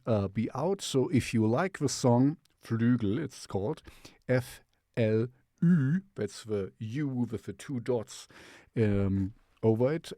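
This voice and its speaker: A man's voice: medium pitch, dry recording, clean studio sound. Laid-back man hosting a radio show. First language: German. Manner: relaxed and chatty, laughs between remarks. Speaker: radio show host and singer. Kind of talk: casual radio show links.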